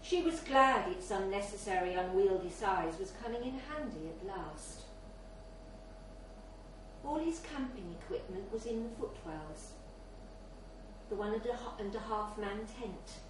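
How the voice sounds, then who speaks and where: A woman's voice: medium pitch, expressive, a little slow. A woman reading a literary short story aloud as scripted narration at a live event in a bar. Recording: very echoey, distant mic, faint noise.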